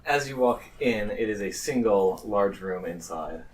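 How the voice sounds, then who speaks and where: A man's voice: lowish voice, animated, unhurried. A man improvising scene narration as the game master of a tabletop roleplaying game. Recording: distant mic, faint noise.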